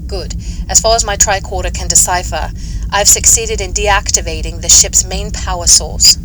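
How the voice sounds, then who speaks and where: A woman playing a brisk, capable starship engineering officer in an audio drama, with a businesslike, technical manner. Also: mid-range voice, steady tone, background noise, close to an everyday voice. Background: music.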